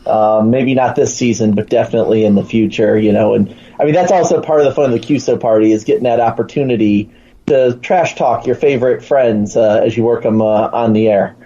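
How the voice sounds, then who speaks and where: A man with a soft-edged, mellow voice chats casually as a guest. He is playful and joking, and the recording is a bit dull and lo-fi. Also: mid-range voice, fairly quick. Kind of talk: casual chat.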